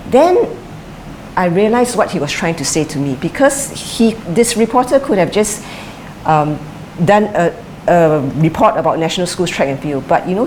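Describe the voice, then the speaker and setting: Interview subject, middle-aged woman, lowish voice, measured and reflective, faint hiss behind. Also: darkish tone, rough recording. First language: English is her first language; Chinese is her second. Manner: thoughtful, with frequent fillers and restarts. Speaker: interviewee, former sprinter and coach. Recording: light background noise.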